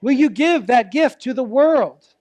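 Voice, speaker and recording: High-pitched man preaching a sermon. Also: animated, a little mellow, dry recording. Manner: earnest, rhetorical questions, deliberate dramatic phrasing. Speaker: preacher, ministerial intern. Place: church service, speaking to a congregation.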